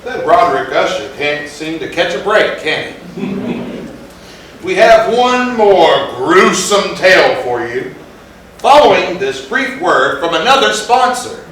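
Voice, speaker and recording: A male actor plays a droll master of ceremonies for gruesome stage tales. He speaks slowly and theatrically, with wry gloom. The sound is distant and very echoey, with clear background noise. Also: high voice, very animated, fairly bright tone, exaggerated voice. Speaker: host. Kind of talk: scripted comic hosting of live readers' theatre.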